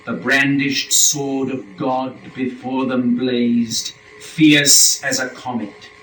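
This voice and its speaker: A man's mid-pitched voice narrates epic poetry in a roomy, echoing space. He is solemn and declamatory, taking the lines very slowly in a measured dramatic reading. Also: a bit bright. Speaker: verse narrator. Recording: echoey room, faint noise.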